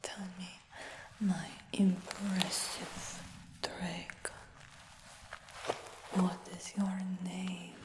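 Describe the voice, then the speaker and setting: A woman whispering softly in a fantasy roleplay, speaking gently to the listener. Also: fairly low pitch, level tone, extremely slow, long pauses, background noise. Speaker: ASMR roleplay creator. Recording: roomy whisper with long reverb.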